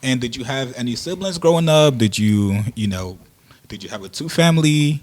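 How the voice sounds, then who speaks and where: Relaxed host, born in Nigeria. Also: male voice, mid-range voice, moderate pace. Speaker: interview host. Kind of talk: casual interview questions.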